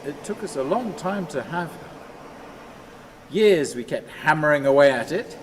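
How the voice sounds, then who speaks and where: An actor playing a haunted, obsessive husband in a radio drama, brooding and volatile. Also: male voice, on the high side, expressive, moderate pace, a little hiss or hum, close to an everyday voice. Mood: wistful, weary.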